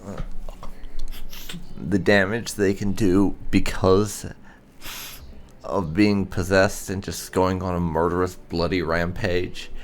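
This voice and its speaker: A man doing roleplay commentary on a game playthrough, musing and hesitant with hums and pauses. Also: sits low, level tone, a little hiss or hum. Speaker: gaming video host.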